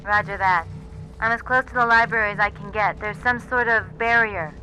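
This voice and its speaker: Field commander for military game, male: steady, clipped, informal, raised pitch, score behind. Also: moderate pace, low-quality recording. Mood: businesslike. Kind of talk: video game cutscene acting. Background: music.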